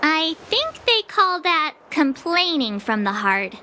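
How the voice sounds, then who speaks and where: Cheeky, high-pitched young game heroine. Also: female voice, big pitch swings, takes it slowly, pushed and bright, slight background noise, exaggerated voice. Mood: teasing. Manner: teasing, sarcastic quips. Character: March, a cheeky, bubbly young woman adventurer. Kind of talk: video game voice acting.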